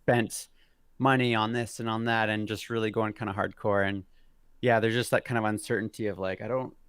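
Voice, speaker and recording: A man's voice: lowish voice, little pitch movement, a little fast, a bit bright, clean tone, no room sound. A man in his thirties, a guest on a video call, talking hesitantly with many fillers and restarts. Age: 30s. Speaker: guest mountain biker. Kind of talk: casual interview chat.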